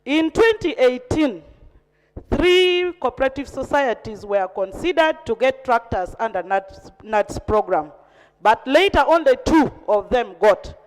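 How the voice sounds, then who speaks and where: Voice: sits high, expressive, unhurried. A member of parliament with a Ugandan accent, telling a formal tribute in a narrative way. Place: large parliamentary chamber. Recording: echoey hall with long reverb.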